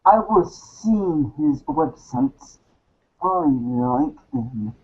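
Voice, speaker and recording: A woman playing a snooty, wordy, analytical teenage girl in a read-along fan theater show, speaking deliberately. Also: deep voice, lively intonation, muffled recording, pitched below the performer's own voice, exaggerated voice. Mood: smug, analytical. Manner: deliberate, wordy, stumbles on long words.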